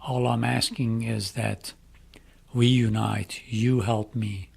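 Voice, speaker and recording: A middle-aged man from Iran with an Iranian accent, speaking English as a second language, making a slow, earnest inspirational appeal. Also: medium pitch, level tone, gravelly, breathy edge. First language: Farsi. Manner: slow, deliberate, emphatic phrasing. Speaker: speaker addressing young people.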